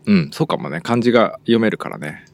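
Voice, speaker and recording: A man's voice: fairly low pitch, very fast, creaky, no room sound. A man hosting a podcast, chatting casually and nodding along with short murmured replies. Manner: relaxed, frequent short backchannels. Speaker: podcast host. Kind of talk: casual chat.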